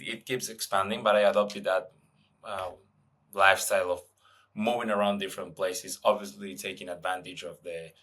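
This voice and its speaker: Hesitant Argentine-born man, low voice. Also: pushed and bright. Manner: hesitant, many fillers and restarts. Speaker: guest, venture investor. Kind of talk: casual interview answers.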